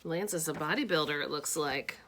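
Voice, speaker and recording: A woman reading a children's storybook aloud with expressive, deliberate phrasing and casual asides. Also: low voice, background noise.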